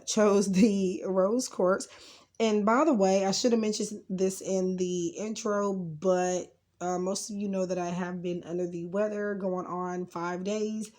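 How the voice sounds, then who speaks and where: Lady doing a laid-back tarot reading, kinda rambly, voice a bit rough cause she's getting over being sick. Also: medium pitch, darkish tone. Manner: rambling, informal. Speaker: tarot card reader and channel host. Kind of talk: casual unscripted pick-a-card tarot reading.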